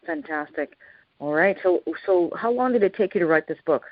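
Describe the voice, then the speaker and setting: A woman hosting a radio interview show, brisk and upbeat, with occasional fillers. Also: lowish voice, lively intonation, no room sound. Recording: dull lo-fi radio sound.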